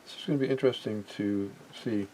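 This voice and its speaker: A man's voice: on the low side, fast talker, dark tone, low-quality recording. A middle-aged or older man giving an unscripted hands-on demonstration, musing hesitantly and often trailing off mid-thought. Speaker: vintage electronics hobbyist demonstrating equipment. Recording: home recording with background noise.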